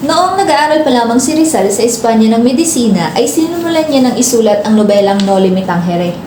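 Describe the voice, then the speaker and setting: A woman's voice: fairly high pitch, animated, brisk, noisy background, close to an everyday voice. A young Filipino woman narrating as a teacher telling a story to her class in a student radio drama. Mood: encouraging. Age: young adult. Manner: expository, prompting pupils with questions. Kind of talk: scripted narration, storytelling lesson. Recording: echoey room with background music.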